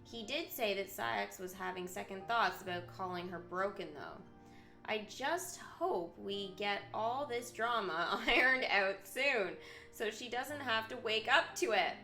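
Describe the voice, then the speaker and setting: A woman game streamer with a very bright, forward voice, recorded in a roomy, echoey space with music behind her. She mixes reading story text aloud with live commentary. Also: mid-range voice, unhurried. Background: video game music.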